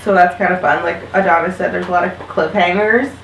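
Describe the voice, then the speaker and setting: A woman's voice: lowish voice, steady tone, brisk, slight background noise. A woman in her thirties, an upbeat host and mother, chatting casually about podcasts with her kids. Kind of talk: casual chat recommending podcasts. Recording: roomy sound, mic at a distance.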